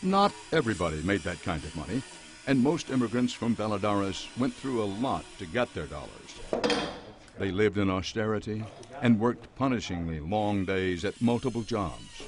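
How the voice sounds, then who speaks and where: A man with a fairly low voice narrates a news report in an even, measured way. The recording carries a faint hiss underneath.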